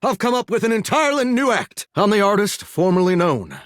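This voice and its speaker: An actor playing a vain, folksy singing shower in a video game, with a theatrical Southern drawl and country sayings. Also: male voice, high voice, sing-song, a little fast, dry recording, studio quality. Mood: defensive, determined. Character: flamboyant, folksy singing shower, vain would-be showbiz star. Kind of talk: animated video game character acting with bursts of song.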